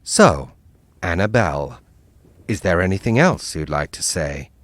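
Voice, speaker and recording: A patient support-group leader, played by a man, speaks soothingly in a very deep voice. His pitch still moves about a lot. It is recorded close and dry. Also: expressive, unhurried, a bit bright, dry recording. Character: calm male leader of a villains' support group. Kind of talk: comedy sketch acting.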